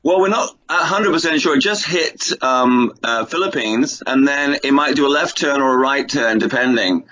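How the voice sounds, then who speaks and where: A man's voice: mid-range voice, normal speed, few pauses, pushed and bright, a little hiss or hum. Man on a dull call line. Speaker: interview guest, author and martial arts film expert. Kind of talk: conversational interview. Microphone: remote call.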